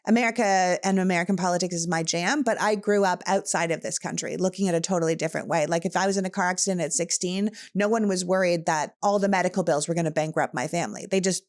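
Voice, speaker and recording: A woman's voice: on the low side, fast talker, few pauses. A woman from Canada hosting a podcast, talking politics in a passionate, emphatic way. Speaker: podcast host. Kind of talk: casual political talk.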